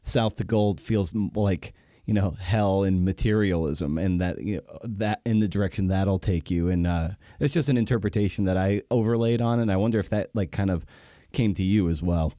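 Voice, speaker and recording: Podcast host: man, dark relaxed tone, fairly low, musing and tentative, dry sound. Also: moderate pace, no echo. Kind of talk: casual reflective interview.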